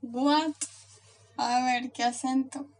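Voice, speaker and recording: Young Colombian woman, high and slow, giggly casual banter, slightly distant mic. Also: steady tone. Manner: giggly, playful, slow-spoken. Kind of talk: casual chat game with a friend.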